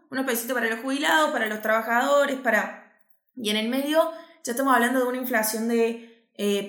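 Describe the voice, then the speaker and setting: An Argentine woman chats about the economy in an easygoing, conversational way. Her voice sits a little high, and a roomy echo surrounds it. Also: fairly bright tone. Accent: Argentine Spanish. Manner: easygoing, conversational, quick agreeing interjections. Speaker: co-host of a finance show. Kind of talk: casual market chat.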